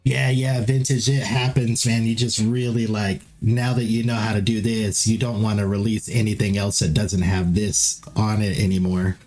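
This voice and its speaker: A man's voice: lowish voice, little pitch movement, normal speed, hardly any pauses, a little mellow, faint music behind. A man talking casually on a live stream, rambling with many fillers and restarts. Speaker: livestreamer.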